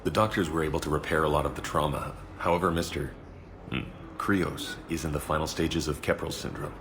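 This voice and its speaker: A man playing a sympathetic hospital receptionist in a video game, measured and matter-of-fact. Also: very deep voice, steady tone, noisy background. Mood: calm, frank.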